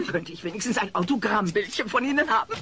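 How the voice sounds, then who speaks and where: A woman's voice: big pitch swings, a little fast, natural voice. An actress playing an effusive, adoring society lady who giggles and gushes in a radio play. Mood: gushing adoration. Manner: shrill cackling, effusive. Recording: noisy old cassette radio play.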